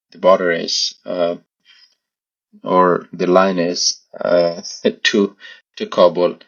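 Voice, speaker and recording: A man speaks very low and with little melody, his voice full of creak. He is an interview guest who picks his words carefully and hesitantly. The recording is slightly dull. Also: fairly bright tone. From Pakistan. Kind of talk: conversational personal recollection.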